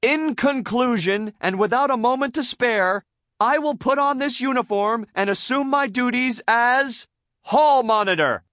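A man voicing an eager, self-important cartoon sea sponge boy in grand, over-excited declarations for a children's storybook. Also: very high-pitched, unhurried, no room sound, studio quality, voice pushed up, exaggerated voice. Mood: pompous, earnest.

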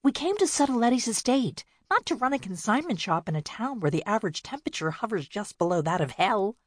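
A woman's voice: medium pitch, sing-song, a little fast, no echo, studio quality, voice pushed up. A woman voicing a hot-tempered young woman who argues defiantly.

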